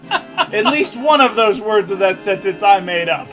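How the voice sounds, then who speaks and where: A man's voice: high-pitched, lively intonation, a little slow, a bit bright, soft background music. An American man reading fan fiction aloud mockingly and bantering casually, with a theatrical, sarcastic, profane manner.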